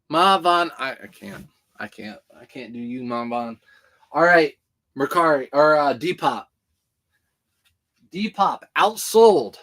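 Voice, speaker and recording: A man's voice: on the high side, fairly bright tone. A man in his thirties hosts a casual livestream, packing orders and chatting with viewers in a joking, talkative way. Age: 30s. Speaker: reseller hosting a livestream.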